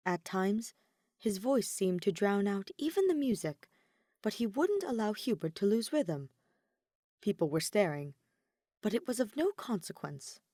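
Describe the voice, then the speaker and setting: A woman's voice: medium pitch, big pitch swings, unhurried, dark tone, no room sound, studio quality. A woman reading scripted fiction aloud as a story narrator.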